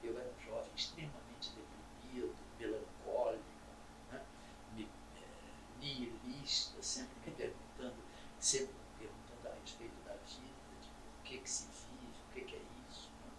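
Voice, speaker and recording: An older man from Salvador, a stage actor telling his story in an interview in a measured, reflective way. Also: medium pitch, fairly even intonation, noisy background. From Brazil, Salvador. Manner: measured, reflective, with frequent pauses and "né?". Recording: roomy echo, distant mic, audible ambience.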